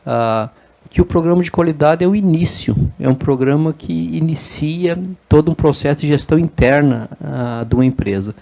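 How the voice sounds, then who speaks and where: A man's voice: fairly high pitch, normal speed, vocal fry, dark tone. A middle-aged man, a guest in an interview, explaining at length and tagging his sentences with "né?". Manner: explanatory, frequent "né?" tags and repeated words. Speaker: interview guest, business association president. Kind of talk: conversational interview.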